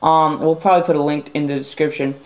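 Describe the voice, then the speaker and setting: A young man hosting a casual phone how-to, his voice a little stuffy from a runny nose. He talks in a relaxed, upbeat way with plenty of fillers, his pitch sitting a touch high. The room around him is noticeably echoey. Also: quick pace. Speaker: tech video host.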